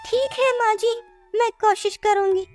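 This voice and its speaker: A woman's voice: extremely high pitch, measured pace, a little mellow. A woman playing a young, self-justifying daughter-in-law with a plaintive manner in a scripted moral story cartoon. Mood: puzzled. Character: young working daughter-in-law, dismissive, later distressed. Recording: music behind the voice.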